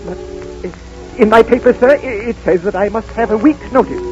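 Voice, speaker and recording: A man acting a meek, good-natured clerk with an excitable, deferential manner in 1930s radio style. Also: high-pitched, normal speed, close to an everyday voice. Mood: flustered, meek. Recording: muffled 1930s radio recording over music.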